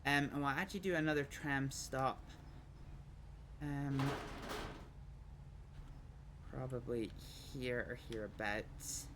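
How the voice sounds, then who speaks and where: A Scottish man of middling pitch chats casually while he plays a building game, thinking out loud and pausing often. He rambles between plans and replies to chat. A steady noise sits under his voice. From Scotland. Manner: thinks aloud, rambling with many ums. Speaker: streamer. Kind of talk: casual gameplay livestream commentary.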